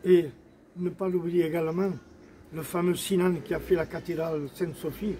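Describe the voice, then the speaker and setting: A man with a fairly high, very mellow voice gives an explanatory commemorative talk as a guest. Faint ambient noise sits behind him. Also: steady tone, normal speed. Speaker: guest, association president.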